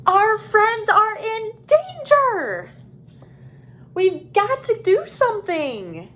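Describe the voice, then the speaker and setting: A woman voicing an excitable, urgent female turkey from a children's storybook, exclaiming insistently. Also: very high-pitched, very slow, slight background noise, voice pushed up, exaggerated voice.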